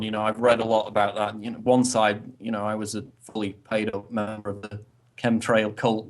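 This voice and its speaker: A man from northern England with a toned-down northern English accent, giving measured, explanatory interview answers with a wry edge. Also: lowish voice. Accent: softened northern English. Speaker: guest commentator.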